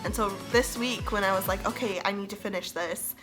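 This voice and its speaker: Young female DIY host, stuffy-nosed from a cold, casual and self-deprecating, over loud backing music. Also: mid-range voice, fairly quick, rough recording. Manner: chatty, self-deprecating, laughs between phrases. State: congested from a cold, with a cough. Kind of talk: casual tutorial narration.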